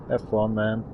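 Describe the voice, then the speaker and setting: A man's voice: fairly low pitch, phone-quality sound, noisy background. A man in his thirties streaming a game, rambling and swearing in a frustrated way. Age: 30s. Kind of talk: casual gaming stream chat.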